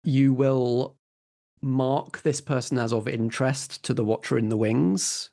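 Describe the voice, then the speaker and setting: A man's voice: mid-range voice, fairly even intonation, a little slow, gravelly, warm tone. A man running a tabletop roleplaying game, narrating scenes and putting questions to players. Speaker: game master.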